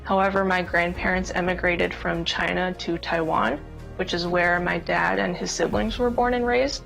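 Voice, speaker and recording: A woman born in the United States speaking in a composed, even way for a short documentary interview. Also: fairly low pitch, moderate pace, creaky, soft background music, low-quality recording. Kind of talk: interview voice-over.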